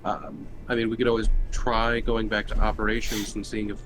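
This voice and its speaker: A man's voice: lowish voice, level tone, normal speed, background noise. A man talking casually as a player in a tabletop roleplaying game. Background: music.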